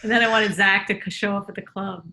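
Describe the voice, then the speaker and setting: A woman's voice: medium pitch, fairly even intonation, quick pace, vocal fry, pushed and bright. A woman comedian in a casual interview, telling loose, rambling stories full of fillers. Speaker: comedian guest.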